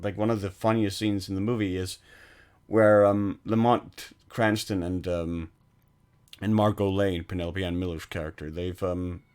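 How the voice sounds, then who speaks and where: A man's voice: deep voice, level tone, normal speed, a little mellow, clear, resonant tone. A man co-hosting a film podcast, retelling movie scenes in a rambling, casual way with many restarts and laughs. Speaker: podcast co-host.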